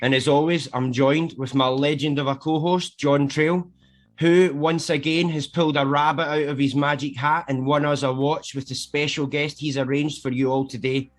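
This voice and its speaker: A man's voice: a little high, little pitch movement, moderate pace. A man with a Scottish accent, hosting a podcast and opening the episode in an upbeat, eager way. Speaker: podcast host. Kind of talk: show opening.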